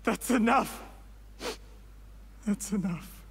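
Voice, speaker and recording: A man's voice: sits high, drawn-out words, vocal fry, a little hiss or hum, heavily acted. A man voice-acting a scheming, ambitious young villain in a video game, with formal, courteous, ingratiating speech. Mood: distressed.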